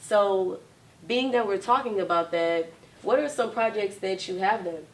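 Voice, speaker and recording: A woman interviewing an actor in a relaxed way, often affirming with "mm-hmm". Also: medium pitch, unhurried. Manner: friendly, affirming with frequent "mm-hmm". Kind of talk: casual interview. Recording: distant mic.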